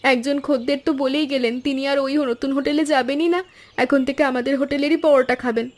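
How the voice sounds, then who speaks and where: A woman voicing an earnest, expressive young granddaughter who cooks at a food stall in an animated story. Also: sits high, quick pace, natural voice. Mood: delighted. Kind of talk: Bengali animated story dialogue.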